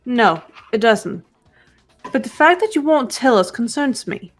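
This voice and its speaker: A woman voicing a calm young female investigator who questions others in measured tones. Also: fairly high pitch, soft background music. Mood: probing. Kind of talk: fan voice acting of a game.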